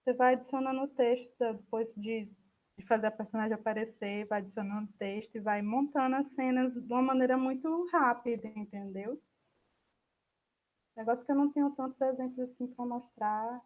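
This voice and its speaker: A Brazilian woman speaks in a fairly high register while leading an informal online talk. She thinks aloud with some hesitation, walking listeners through a program and fielding their questions. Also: fairly quick, darkish tone, poor audio. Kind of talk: informal software demo, answering viewer questions.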